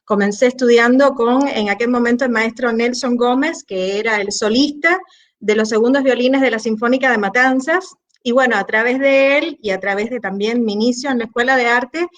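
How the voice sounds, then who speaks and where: A woman from Cuba with a Cuban Spanish accent, a conductor interviewed over a video call, talking thoughtfully with frequent pauses. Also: fairly high pitch. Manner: warm, thoughtful, pauses often with eh. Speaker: orchestra conductor, guest.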